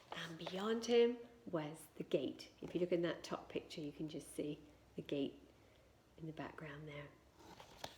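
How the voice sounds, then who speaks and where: A woman reading a children's story aloud expressively, with dramatic emphasis and hushed moments of suspense. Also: on the low side.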